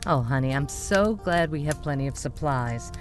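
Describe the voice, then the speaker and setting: A woman's voice: low voice, animated, normal speed. A woman playing an attentive middle-aged wife hosting friends at a cabin, in an amateur radio drama. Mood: homely. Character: middle-aged wife Teresa, warm hostess. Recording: music score behind.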